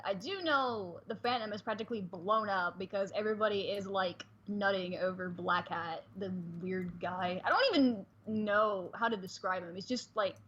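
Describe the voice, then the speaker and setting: A woman in her early twenties chatting casually as a guest on a livestream, with a husky voice. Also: mid-range voice, fairly bright tone, a little hiss or hum. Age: early 20s. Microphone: online voice call. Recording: dull lo-fi voice-chat audio.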